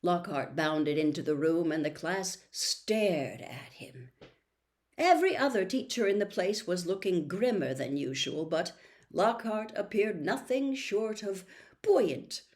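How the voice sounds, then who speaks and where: A woman reading a story aloud as an audiobook narrator, with expressive scripted delivery. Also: lowish voice, measured pace. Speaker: audiobook reader.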